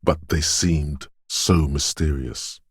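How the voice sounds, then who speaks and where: A man voicing a brooding, dreamlike inner voice of intuition in a video game, speaking in a slow, hushed, portentous and theatrical way. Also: steady tone, no room sound, studio quality, full character voice. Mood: dreamy, hypnotic. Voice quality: very deep, husky, gravelly whisper-edged rumble. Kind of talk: dramatic video game voice acting. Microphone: close, dry studio microphone.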